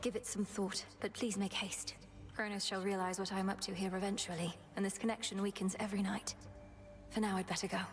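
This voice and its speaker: A woman playing an earnest, resolute young witch princess in a video game, speaking in a formal, measured manner. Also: medium pitch, flat delivery, natural voice. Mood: earnest, urgent. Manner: formal, measured, archaic phrasing. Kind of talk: video game drama acting.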